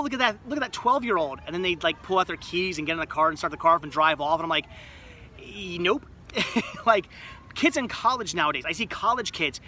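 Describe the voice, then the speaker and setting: Casting: man, late thirties, high bright voice, rambling self-mocking livestream host, faint outdoor noise. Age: 30s. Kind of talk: casual livestream chat reading comments. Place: outdoors at a resort.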